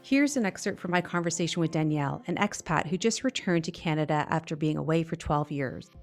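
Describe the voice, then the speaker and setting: Female podcast host, lowish voice, measured delivery, faint music underneath. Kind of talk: podcast narration and interviewing.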